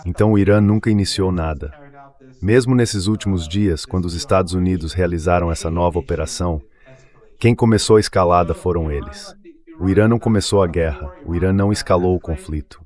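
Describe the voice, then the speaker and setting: A man's voice: low-pitched, a little fast, darkish tone. A man giving political commentary as an interview guest in an AI-read translated dub, earnest and emphatic, building points through repeated lists.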